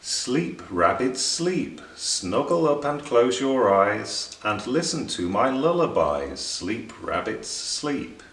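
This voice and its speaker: A man voicing a dreamy, earnest storybook rabbit who recites verse in a deliberate singsong. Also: medium pitch, big pitch swings, fairly bright tone, heavily acted. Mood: soothing. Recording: roomy echo, distant microphone.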